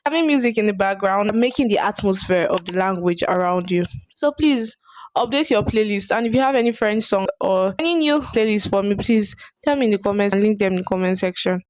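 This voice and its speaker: A woman's voice: mid-range voice, animated, brisk. A young woman with a Nigerian accent gives casual language-learning tips to camera. She is chatty and earnest and often restarts her phrases. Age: young adult. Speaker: vlogger. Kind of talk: casual advice vlog.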